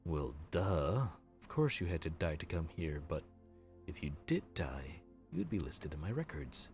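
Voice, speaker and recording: Guy doing a deep slow grim reaper, sarcastic fed-up paperwork type. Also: big pitch swings, darkish tone, soft background music, full character voice. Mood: puzzled. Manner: exasperated, sarcastic bureaucrat. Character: weary male grim reaper running the underworld queue. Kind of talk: audio roleplay.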